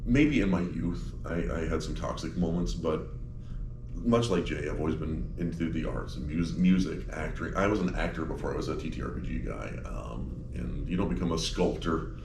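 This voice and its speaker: A low-voiced man jokes at his own expense as he chats. He sits a little back from the mic in a roomy space. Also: moderate pace, far from the mic. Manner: wry, self-mocking jokes. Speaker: podcast guest. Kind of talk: casual chat. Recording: echoey room, a bit off-mic, faint noise.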